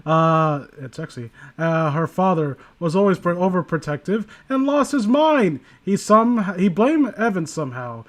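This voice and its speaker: A man's voice: high-pitched, expressive, measured pace, few pauses. A man casually reading aloud and commenting, sardonic and prone to profane asides. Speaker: host reading and critiquing internet horror stories.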